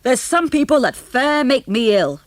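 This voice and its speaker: Cranky bearded old great-grandad, loud and irate, played by a woman narrator. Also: high voice, very animated, unhurried, no echo, voice pushed up. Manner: cantankerous, shouting.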